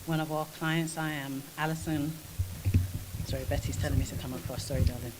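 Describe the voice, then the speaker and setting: A woman's voice: low-pitched, steady tone, a little fast, noisy background. A middle-aged woman, a treatment centre director introducing a client, speaking with open emotion. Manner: warm, emotional, effusive. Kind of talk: introductory remarks. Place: conference room at a press event. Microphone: handheld mic.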